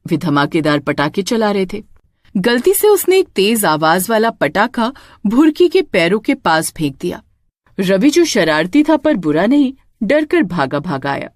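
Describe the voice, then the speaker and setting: A woman's voice: mid-range voice, very animated, unhurried, no room sound, studio quality. A woman storyteller reading a scripted tale in a dramatic narrating style.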